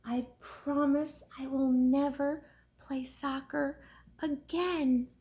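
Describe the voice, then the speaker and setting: A woman's voice: high-pitched, steady tone, slow pace, mellow, pitched above the performer's own voice. A woman voicing a sheepish young boy rabbit in a children's storybook, speaking ruefully. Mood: whispered regret.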